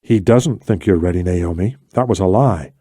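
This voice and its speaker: A man's voice: low voice, moderate pace, dark tone, slightly rough, no room sound, clean studio sound, voice pushed down. A man playing a skeptical, bitter, protective male operative in an audiobook, with an urgent, pleading manner. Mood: angry. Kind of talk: audiobook character dialogue.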